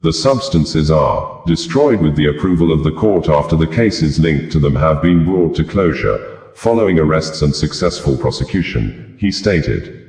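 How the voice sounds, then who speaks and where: A man reading news reports aloud in a measured, formal way. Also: a bass voice, lively intonation, vocal fry, a little mellow, roomy sound. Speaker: news reader.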